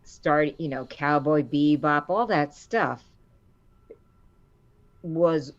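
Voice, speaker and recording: Woman, low deep voice, middle-aged, animated storyteller, dull lo-fi sound. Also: extremely slow. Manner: animated storyteller, acts out quotes with rising pitch. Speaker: voice actor guest. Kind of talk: casual interview chat.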